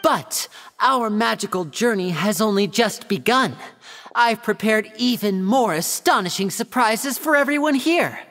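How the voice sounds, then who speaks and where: A showy young male magician in a video game. The delivery is animated and theatrical, courteous and a little teasing, with faint music underneath. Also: fairly high pitch, measured pace, in a large hall. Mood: showy, gracious. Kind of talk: video game character acting, stage patter.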